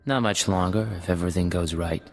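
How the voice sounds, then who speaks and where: An actor voicing a cool, terse secret agent in a video game, speaking in clipped, understated lines. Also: male voice, low-pitched, fairly quick. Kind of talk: video game cut-scene acting. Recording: long hall reverb.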